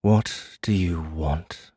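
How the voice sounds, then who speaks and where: Man voicing a slow, low, breathy fae prisoner. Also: no room sound, clean studio sound, heavily acted. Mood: cold, accusing. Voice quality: smooth, hushed, breathy. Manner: deliberate, measured, formal. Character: caged male fae prisoner, menacing, slow, darkly courteous. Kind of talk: audiobook character acting.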